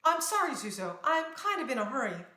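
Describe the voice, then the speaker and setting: A woman's voice: sits high, big pitch swings, brisk, pushed and bright, pitched above the performer's own voice, exaggerated voice. A woman playing a brave, determined young princess in a storybook, speaking earnestly and with command. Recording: roomy, echoing sound.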